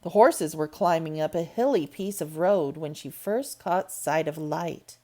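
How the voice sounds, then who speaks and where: A woman reading a classic novel aloud, slow and expressive. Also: low voice. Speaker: storybook reader.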